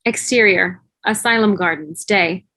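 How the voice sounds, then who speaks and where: A woman's voice: mid-range voice, a little slow, sharp tone, no room sound. A woman reading stage directions as the narrator of a scripted table read.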